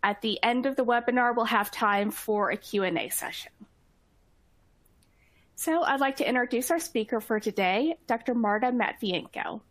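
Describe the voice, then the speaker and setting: A woman's voice: fairly high pitch, moderate pace, no echo. A woman reading a scripted introduction as the host and moderator of an online webinar.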